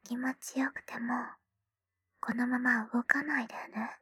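A woman voicing a teasing, doting schoolgirl little sister in a whispered ASMR roleplay, breathy and playful. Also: fairly high pitch, steady tone, no echo, clean studio sound, heavily acted. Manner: teasing, playful, slow and soft. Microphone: close microphone.

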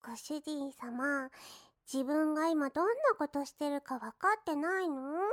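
High, slow baby-talk maid, mocking her master, played by a woman. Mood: teasing. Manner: sing-song baby talk with lisped endings. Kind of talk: roleplay audio drama.

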